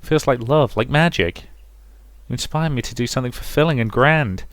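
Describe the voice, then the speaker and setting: A man voicing a gentle, thoughtful, reserved teenage girl in a visual novel, measured and earnest. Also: mid-range voice, no room sound, pitched above the performer's own voice, natural voice.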